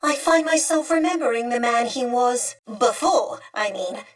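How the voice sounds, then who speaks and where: A woman voicing a polite, warm, old-fashioned female droid in a video game, with prim, formal and earnest diction. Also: a little high, animated, measured pace, far from the mic. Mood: wistful. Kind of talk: video game character acting.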